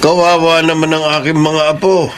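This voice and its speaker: A man's voice: on the high side, flat delivery, fairly quick, slight background noise, natural voice. An actor playing a concerned, conciliatory older father-in-law in a Filipino radio drama. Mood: concerned, admonishing.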